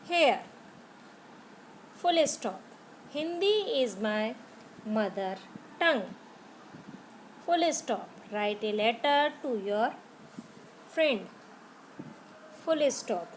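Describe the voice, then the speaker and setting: A woman teacher with an Indian English accent, slowly spelling out grammar words letter by letter for young pupils. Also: sits high, lively intonation, slight background noise, low-quality recording. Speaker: school teacher.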